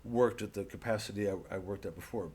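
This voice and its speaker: Low-voiced man, rambling and wry. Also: fairly even intonation, fast, a little mellow, slightly rough. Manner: rambling, digressive; wry, mock-earnest. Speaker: comedian. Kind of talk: casual vlog promoting a live show.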